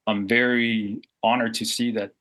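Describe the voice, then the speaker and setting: A low-pitched man in his forties, born and raised in Samoa, speaking in a candid interview. He talks earnestly and reflectively, circling back with fillers and restarts as he thinks aloud. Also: level tone, a little slow, a bit bright. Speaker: guest.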